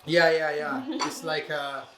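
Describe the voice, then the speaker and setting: A man's voice: a little high, little pitch movement, unhurried, music in the background. A man from Venezuela with a Venezuelan Spanish accent, a chef explaining a cooking recipe step by step in English. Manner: explains step by step, many fillers. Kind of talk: casual cooking demonstration.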